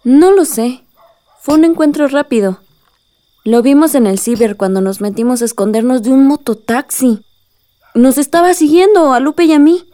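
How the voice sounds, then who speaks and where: A woman's voice: sits high, moderate pace, mellow, lightly acted. A woman playing a cheerful young village woman with a Mexican accent in a radio soap opera, shy around her sweetheart. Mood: calm.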